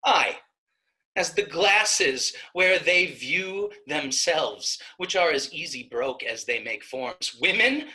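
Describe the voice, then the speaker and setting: Slow, intense devout young woman played by a man. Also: a little high, animated, bright, forceful tone, heavily acted. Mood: impassioned. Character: devout, steadfast young woman pleading for her condemned brother. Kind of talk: Shakespearean staged reading.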